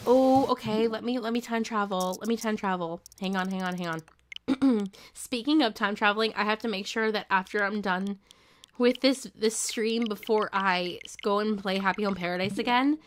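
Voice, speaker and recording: A young woman chatting casually on a gaming live stream, rambling with lots of fillers and bursting into excited squeals, her voice still slightly hoarse. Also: medium pitch, moderate pace, a little hiss or hum. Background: game music.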